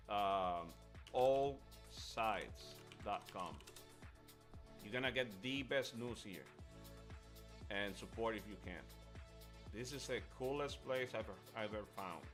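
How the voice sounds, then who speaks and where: A man chatting casually and giving strong opinions as host of a live stream, emphatic and often repeating himself. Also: fairly low pitch. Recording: music under the voice. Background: music.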